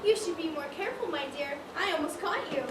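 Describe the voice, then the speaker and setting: A woman's voice: very high-pitched, animated, fast talker, distant mic. A woman acting in an amateur stage play as a prim, nosy, condescending society lady, chiding in a genteel way. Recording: echoey, noisy stage recording from afar.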